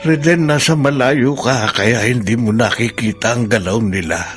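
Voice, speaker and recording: Lalaking gumaganap na malungkot na matandang lolo, may musika. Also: male voice, mid-range voice, moderate pace, close to an everyday voice. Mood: hurt, bitter. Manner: plaintive, sorrowful. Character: lonely elderly widowed grandfather, hurt and self-pitying. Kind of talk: Filipino radio-style drama acting. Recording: music under the voice.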